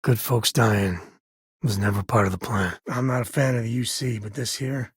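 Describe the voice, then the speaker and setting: An actor playing a rugged frontier space-cowboy companion in a video game, a wry, protective father with a husky American voice and a folksy, earnest way of talking. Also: medium pitch, fairly even intonation, moderate pace, no room sound, studio quality. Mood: earnest, measured. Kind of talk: video game character voice acting.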